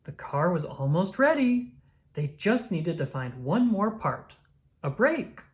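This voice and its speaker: A woman narrates a children's picture book in a fairly low voice. Her pitch moves in lively swoops, and she phrases things deliberately and expressively for young listeners. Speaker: storybook reader. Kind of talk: children's read-aloud narration.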